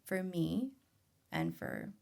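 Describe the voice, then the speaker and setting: Low, creaky-voiced woman, casual and candid. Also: huge pitch swings, takes it slowly, a little mellow. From remote rural island. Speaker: podcast guest. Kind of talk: casual personal storytelling.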